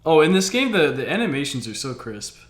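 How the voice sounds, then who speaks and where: A man's voice: fairly high pitch, very animated, brisk. A man hosting a casual livestream chat, talking enthusiastically and repeating himself for emphasis. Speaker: streamer host. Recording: roomy, echoing sound.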